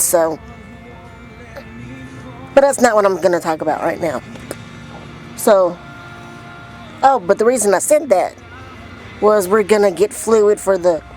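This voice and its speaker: Woman, 20s, casual slangy vlogger, unhurried delivery, in-car recording with music behind. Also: fairly low pitch, darkish tone, low-quality recording. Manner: informal, slangy, exclamatory. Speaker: vlog host. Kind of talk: casual vlog chat.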